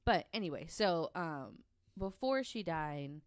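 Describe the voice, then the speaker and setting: A woman's voice: sits low, animated, takes it slowly, no echo, clean studio sound. A woman telling a researched story on a podcast in a casual, conversational way, with an informal, hesitant delivery.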